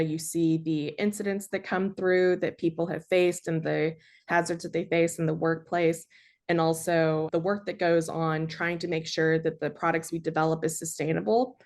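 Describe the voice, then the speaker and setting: Casting: low, monotone woman, measured panelist. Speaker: panelist, sustainability project manager. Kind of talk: panel discussion.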